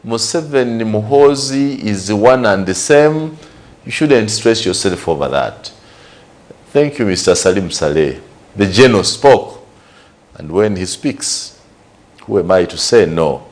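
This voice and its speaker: A man's voice: fairly low pitch, expressive, unhurried. A man from Uganda with a Ugandan English accent, giving conversational commentary on current affairs and asking rhetorical questions. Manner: conversational, repeats and lists points, rhetorical questions. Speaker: news show host.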